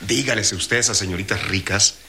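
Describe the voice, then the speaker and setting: A Mexican actor playing a humble, confident young cabinetmaker, colloquial and respectful with a touch of cheek, in a radio drama. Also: male voice, on the low side, fairly quick, pushed and bright. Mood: eager, confident.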